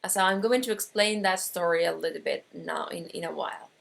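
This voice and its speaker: A woman from Argentina with an Argentine Spanish accent and Spanish as her first language, teaching an online course with slow explanations, frequent pauses and restarts. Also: on the low side, creaky, a bit bright. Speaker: metaphysics teacher.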